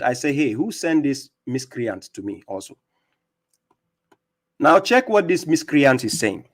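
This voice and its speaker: Male streamer with a raised pitch. Combative, sneering, emphatic rebuttal. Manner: mocking, taunting, laughs at opponents; emphatic, repeats words when he stumbles. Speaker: livestream host. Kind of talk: combative religious livestream rebuttal. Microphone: streaming setup.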